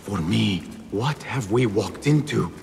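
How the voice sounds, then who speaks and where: Slow, gravelly troubled young assassin. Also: male voice, on the low side, animated, slight background noise, full character voice. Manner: slow, grave, deliberate delivery. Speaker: game character actor. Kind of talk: dramatic video game acting. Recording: loud music behind the voice.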